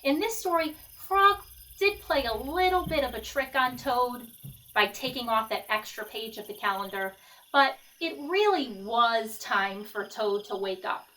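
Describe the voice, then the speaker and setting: A woman reading a storybook aloud to children, gently pausing to explain and ask questions. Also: fairly high pitch, expressive, far from the mic, poor audio. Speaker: storybook reader.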